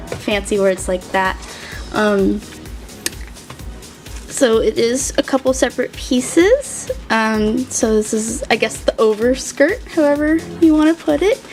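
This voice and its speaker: A woman's voice: fairly high pitch, darkish tone. A woman co-hosting a casual, unscripted review, describing things in a gushing way. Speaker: co-host of a toy review. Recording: music behind the voice.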